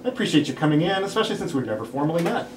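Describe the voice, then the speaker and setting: A man's voice: fairly high pitch, sing-song, very fast. A man reading the part of a vain, charming filmmaker in his late twenties at a script table read. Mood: smooth, flattering. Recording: roomy, distant mic, clear background noise.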